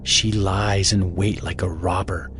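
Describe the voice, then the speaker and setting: A man with a fairly low voice reads scripture in a dramatized audiobook style. He delivers it as earnest, fatherly counsel, over background music. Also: fairly even intonation, a little slow. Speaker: scripture narrator.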